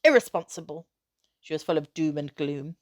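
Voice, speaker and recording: A woman with a slightly low voice narrates a children's story. She reads expressively, in measured phrases with a storyteller's emphasis. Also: animated. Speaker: teacher reading aloud.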